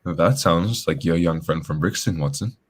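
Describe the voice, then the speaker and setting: A man's voice: deep voice, moderate pace, husky. A man playing a shrewd, composed detective in a radio drama, speaking formally and matter-of-factly. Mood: shrewd, probing.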